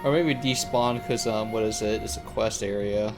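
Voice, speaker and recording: A man's voice: on the low side, moderate pace, music in the background. A man giving casual, slangy gameplay commentary on a live stream, thinking aloud as he plays. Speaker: live streamer playing a video game.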